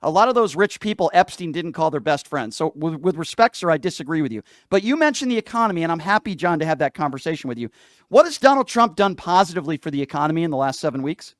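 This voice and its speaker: A man's voice: fairly high pitch, very animated, fast, no echo, studio quality. A man hosting a live call-in show, debating politics in an argumentative, insistent way and repeating phrases for emphasis.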